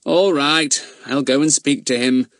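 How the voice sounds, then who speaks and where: A man's voice: mid-range voice, measured pace, fairly bright tone, no echo, voice pushed up. A man voicing a cheeky schoolboy who answers everyone with exaggerated politeness. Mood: cheeky polite.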